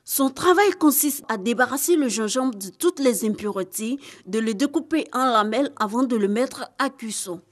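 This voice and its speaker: A woman giving scripted news narration as a reporter, in a measured, formal delivery. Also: a little high, lively intonation.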